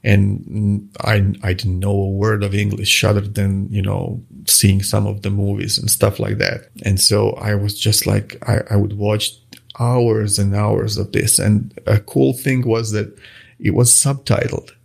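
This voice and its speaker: A man's voice: lowish voice, little pitch movement, unhurried, creaky. A middle-aged man from Croatia, a native Croatian speaker, answering interview questions in a hesitant, casual way with many restarts. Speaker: guest, watch face designer.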